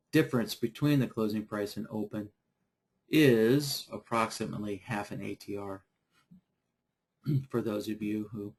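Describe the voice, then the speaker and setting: A man teaching trading software in a live online session, losing his voice from a dry throat and clearing it often, talking in a halting, unhurried way. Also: fairly low pitch, rough recording. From Idaho. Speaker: software trainer. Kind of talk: live webinar screen-share tutorial.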